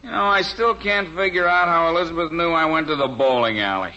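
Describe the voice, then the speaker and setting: An actor playing a complaining, henpecked American poker buddy in a 1950s radio sitcom. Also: male voice, on the high side, fairly quick, bright, forceful tone. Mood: grumbling. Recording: dull, hissy old radio recording.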